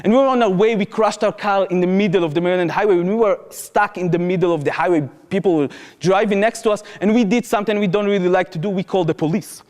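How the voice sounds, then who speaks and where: A young Israeli man with a fairly high voice addresses a crowd. He talks with earnest, urgent passion. A long reverb from the lecture hall trails his words. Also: quick pace, a little mellow. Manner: earnest, impassioned, urgent appeals to the audience. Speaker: activist speaker. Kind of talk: public talk, personal storytelling.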